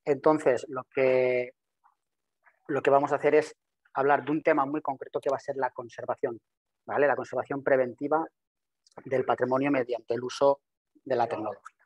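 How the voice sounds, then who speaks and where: A man in his thirties who is not a native speaker, giving a webinar presentation over a video call, quick and full of restarts and repeated words. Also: medium pitch, little pitch movement, no room sound. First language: not Spanish. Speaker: webinar presenter, systems engineer. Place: home office with virtual background. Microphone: video call webcam.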